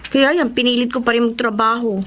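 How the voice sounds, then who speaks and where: A woman's voice: on the high side, steady tone, fairly quick, a bit bright, slight background noise, close to an everyday voice. An actress playing a hard-working young saleswoman, prickly yet earnest, in a radio drama. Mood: worried. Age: young adult. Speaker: lead actress.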